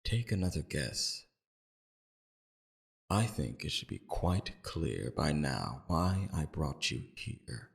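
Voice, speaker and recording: A man playing a calm, courteous villain leader in an audio roleplay, speaking in a civil, measured, softly probing way with a very deep, gravelly voice. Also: full character voice.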